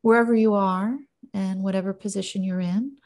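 A woman in her thirties talks calmly as a podcast co-host. Her voice is mellow and relaxed, with noticeable creak. She guides gently, as in conversation. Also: mid-range voice, fairly quick. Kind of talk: calm guided check-in, conversational. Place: indoor office, video call.

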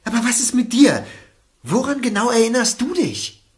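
A man's voice: very high-pitched, very animated, moderate pace. An actor playing an impatient, suspicious young man held captive in a radio play, brusque and confrontational. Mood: irritated. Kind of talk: German radio play acting.

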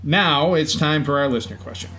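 A man's voice: fairly high pitch, brisk, bright, forceful tone, full character voice. A man co-hosting a podcast, telling stories in light scripted banter. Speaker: podcast co-host. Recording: clear background noise and ambience.

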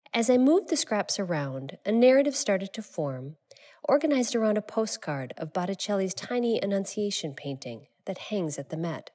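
Calm woman reading her artist statement. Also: mid-range voice. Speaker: exhibiting artist.

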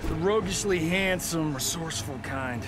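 An actor playing a vain, wisecracking action hero in a video game, flippant and boastful. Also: male voice, a little high, normal speed, close to an everyday voice. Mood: cocky. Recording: game audio with music behind.

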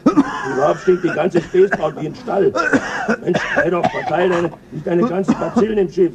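An actor playing a crude, boastful male crewman on a spaceship, coarse and quick to flare up, in an old radio play. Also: high voice, gravelly, distant mic, dull audio, a loud crowd. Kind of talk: 1970s radio play acting.

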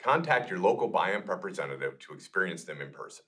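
A man's voice: deep voice, very animated, quick pace, bright, forceful tone. A middle-aged man giving a scripted product presentation to camera in a small meeting room. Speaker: product manager presenting a demonstration.

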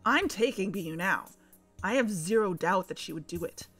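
A woman's voice: medium pitch, expressive, moderate pace, a bit bright, husky, faint music behind. A woman playing a blunt, accusing investigator in an audio fiction podcast, with an emphatic, confrontational delivery. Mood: frustrated. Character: exasperated, blunt, accusing young female investigator.

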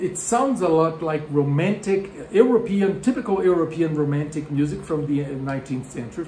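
A middle-aged Brazilian man with a Portuguese accent talks straight to a camera held close, animated and joking at his own expense. His voice sits a little high for a man, in a room that rings back noticeably under a faint hum of ambience. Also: roomy sound, rough recording. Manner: animated, gesturing, self-deprecating asides. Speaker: music educator. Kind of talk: explainer video to camera. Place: home room with bookshelves. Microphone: camera at arm's length.

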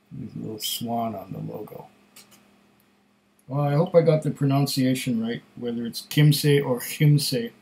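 A middle-aged man whose first language was German, giving casual whisky tasting notes at home, musing and pausing between sips, recorded on a webcam at desk distance. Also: mid-range voice, creaky, a little mellow. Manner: slow, musing, pauses to sniff and sip. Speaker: whisky reviewer. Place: home room with shelves of bottles.

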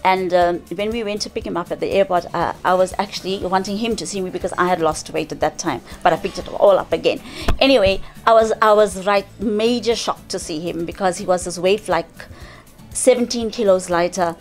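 A woman's voice: fairly low pitch, a little fast, soft background music. Chatty woman. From South Africa. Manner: chatty, animated, with frequent restarts and hand gestures. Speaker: interviewee, cricketer's mother. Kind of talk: casual interview chat. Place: indoor lounge, seated.

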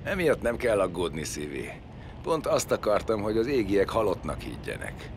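Low-voiced, cocky, scheming captive. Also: male voice, big pitch swings, slight background noise. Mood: sardonic. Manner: sardonic, foul-mouthed. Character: cocky, scheming captive sky-man, sardonic and defiant. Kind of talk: science fiction audio drama acting.

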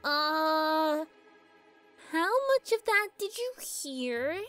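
A tiny villainous pony girl for a storybook-style reading. The voice is extremely high and piercingly bright, with a whiny, exasperated edge. Faint music plays behind it. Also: expressive, very slow, no echo, heavily acted. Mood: nervous. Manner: peevish, whiny, dramatic. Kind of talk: cartoon-style character voice in fan fiction reading.

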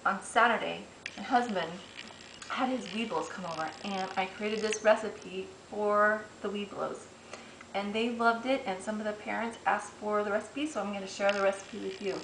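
A woman's voice: mid-range voice, steady tone, moderate pace. A middle-aged woman hosting a casual home cooking demonstration. She is chatty and homey and thinks aloud with fillers while working the dough. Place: large home kitchen. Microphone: camera mic, a few steps away. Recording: echoey kitchen with clear background noise.

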